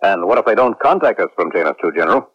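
A man playing an earnest, worried military subordinate in a science fiction radio drama, deferential, with a husky voice. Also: low-pitched, animated. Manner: deferential, quick. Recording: muffled 1970s radio recording.

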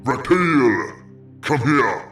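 Gruff demon guard, performed by a male narrator, horror audio story. Clinical and irritable, very slow delivery, echo-laden sound. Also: medium pitch, very animated, a bit bright, recorded from a distance, exaggerated voice. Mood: impatient. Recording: echoey voice over background music.

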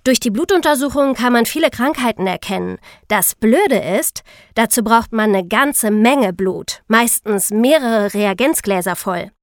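A woman's voice: on the high side, lively intonation, a little slow, dry recording, clean studio sound. A young woman co-hosting a podcast, explaining things to young listeners in a casual, youthful way. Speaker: podcast host.

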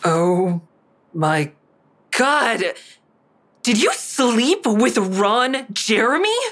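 A woman's voice: a little high, sing-song, very slow, fairly bright tone, dry recording, full character voice. An actress playing a wry daughter who narrates her own story in a natural, everyday way in an audio drama. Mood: appalled. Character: wry woman narrator, a former comic, exasperated daughter.

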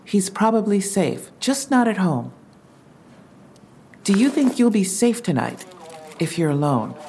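An actress playing a gentle female police officer who speaks softly and carefully. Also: medium pitch, big pitch swings, unhurried. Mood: careful, reassuring. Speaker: police officer in an audio drama. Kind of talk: dramatic dialogue. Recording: noticeable ambient background noise.

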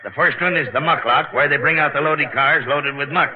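A man's voice: on the high side, fairly quick, natural voice. An actor playing a middle-aged, seasoned American tunnel worker and father, plainspoken and patient, in 1940s radio drama style. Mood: explaining. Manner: plainspoken, colloquial explaining. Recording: tinny, band-limited 1940s radio recording.

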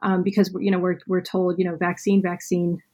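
A woman's voice: fairly low pitch, level tone, fairly quick, warm tone. A woman interviewing an author, asking questions in a conversational style with many hedges. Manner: conversational, hedging with "you know" and "kind of". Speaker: interviewer, podcast host.

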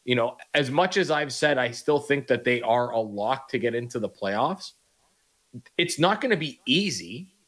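A man with a mid-range voice talking sports on the radio. He works through his argument in a steady, analytical, conversational way. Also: mid-range voice, normal speed. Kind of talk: sports talk radio chat.

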